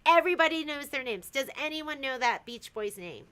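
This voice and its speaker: A woman's voice: high voice, expressive, a little fast, bright, forceful tone. A woman hosting a casual livestream from a sewing shop, chatty and teasing, giggling as she shows products.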